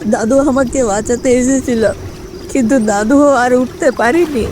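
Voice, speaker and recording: A woman voicing a lost ghost boy of seven or eight in a story, speaking haltingly and plaintively. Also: fairly high pitch, a little mellow, slight background noise, lightly acted. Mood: plaintive, eerie. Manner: slow, halting, repeating words.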